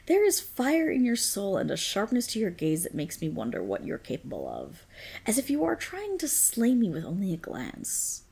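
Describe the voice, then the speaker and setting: A woman voicing a proud, possessive dragon queen in a dramatic ASMR roleplay, with regal, commanding, formal diction and a breathy, husky, creaky voice. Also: on the low side, a little mellow, heavily acted. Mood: amused, intrigued.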